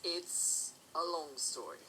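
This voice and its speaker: A man's voice: fairly high pitch, very animated, takes it slowly, a crowd in the background, poor audio. A man voicing a courteous adult unicorn guard with a formal, dry manner in a fan audio drama. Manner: formal, polite, dry wit.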